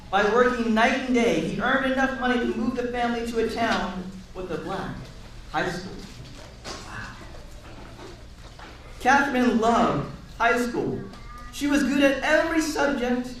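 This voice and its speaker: A man reading a picture book aloud to children in an expressive, encouraging way, pausing to chat and ask them questions. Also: extremely high pitch, slight background noise. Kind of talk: animated read-aloud with asides to a young audience. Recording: echoey room, distant mic, audience sounds.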